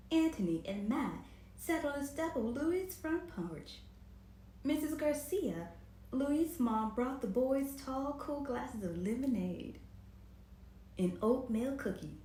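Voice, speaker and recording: A woman's voice: fairly high pitch, slight background noise. A woman hosting a children's storytime, reading aloud expressively and speaking directly to her listeners. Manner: expressive, performative, addresses viewers directly. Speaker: children's storytime host and reader. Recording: roomy, echoing home recording.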